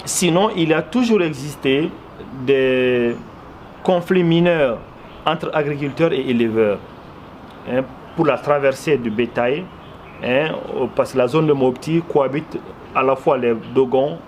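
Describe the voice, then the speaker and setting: A man's voice: mid-range voice, unhurried, darkish tone. A man with a Malian accent giving earnest, explanatory interview answers, often repeating words for emphasis. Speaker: interviewee, commentator. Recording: clearly noisy background ambience.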